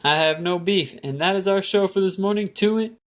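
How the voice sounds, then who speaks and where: Young man acting as high-voiced 1920s radio host. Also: fairly quick, fairly bright tone. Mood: formal. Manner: showy announcer phrasing with period slang. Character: upbeat 1920s male radio news host. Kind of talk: scripted 1920s radio news show acting.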